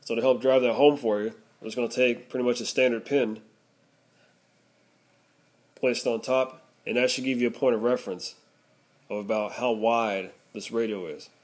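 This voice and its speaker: A man's voice: mid-range voice, fairly even intonation, moderate pace, pauses often. Man giving a casual step-by-step product review. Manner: explanatory, step-by-step.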